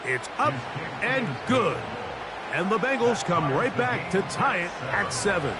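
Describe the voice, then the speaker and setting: A football play-by-play announcer with a high, animated voice. He calls the game in a TV sports commentary style. The recording is heavily covered in noise. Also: a little slow. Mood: focused. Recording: very noisy gameplay capture, ambient thumps.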